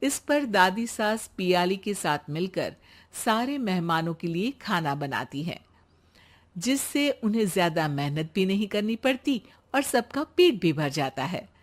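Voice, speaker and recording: A woman's voice: mid-range voice, very animated, a little slow. A woman reading scripted narration as the storyteller of a cartoon tale.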